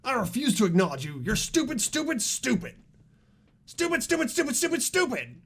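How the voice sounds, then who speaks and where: A man voicing a hot-headed teenage boy in a mystery game, shouting and repeating himself in defensive panic. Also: very high-pitched, wildly swooping pitch, moderate pace, creaky, breathy edge, full character voice. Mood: frantic denial.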